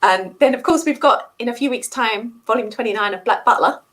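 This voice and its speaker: A woman's voice: fairly high pitch, fairly quick, a bit bright. A woman co-hosting a casual book chat, talking in a chatty, enthusiastic way. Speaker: book discussion co-host.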